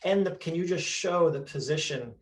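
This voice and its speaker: A man's voice: fairly high pitch, normal speed, a little hiss or hum. A man hosting an interview over a video call, chatty and explanatory as he asks questions. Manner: chatty, explaining, frequent restarts. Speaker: host, interviewer.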